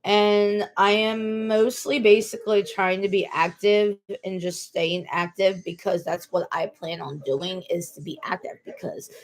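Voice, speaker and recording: A woman's voice: medium pitch, fairly even intonation, a little slow, hardly any pauses. Rambling woman in her twenties. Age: 20s. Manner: rambling, repeats herself, many fillers and restarts. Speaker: vlogger. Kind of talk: casual personal talk to camera.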